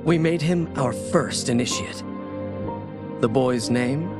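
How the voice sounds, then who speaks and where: A man's voice: mid-range voice, takes it slowly, over loud music, close to an everyday voice. An actor playing a reflective, compassionate martial-arts warrior, telling his story sincerely in a video game. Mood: warm, reflective. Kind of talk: scripted video game ending narration.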